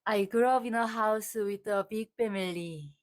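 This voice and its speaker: A woman hosting an English lesson, reading prompts quickly and repeating English sentences slowly and carefully. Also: medium pitch. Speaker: host and English learner. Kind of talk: repeating practice sentences aloud.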